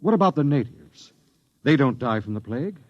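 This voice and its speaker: An actor playing a grim, doomed space expedition captain in a 1950s radio drama, solemn and stern. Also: male voice, mid-range voice, big pitch swings, a little fast, dry recording. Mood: stern, urgent. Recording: dull vintage radio recording with music.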